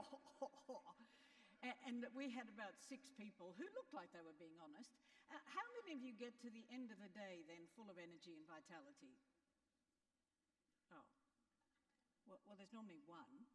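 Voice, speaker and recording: Brief: middle-aged Australian woman, keynote speaker, animated bouncing pitch, long hall reverb. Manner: lively, joking, talks straight to the crowd. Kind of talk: motivational keynote to an audience. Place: large hall.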